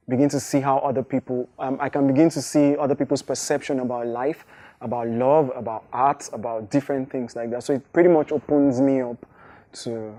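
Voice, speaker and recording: A young man with a Nigerian accent whose first language is Igbo, answering interview questions in a thoughtful, unhurried way. His voice is mellow and warm, and it sits at a middling pitch for a man. He often pauses with fillers and restarts his sentences as he works through an idea. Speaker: hip-hop artist.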